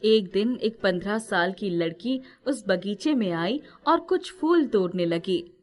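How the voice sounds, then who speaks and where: A woman's voice: medium pitch, animated, a little slow, darkish tone. A woman narrating a scripted moral fairy tale as a storyteller.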